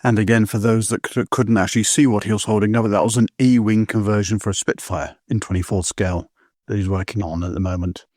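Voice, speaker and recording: A man's voice: fairly low pitch, fairly even intonation, quick pace, a little mellow, no echo, studio quality. A man hosting a modelling podcast, teasing his co-hosts and steering the talk. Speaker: podcast host. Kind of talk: casual chat.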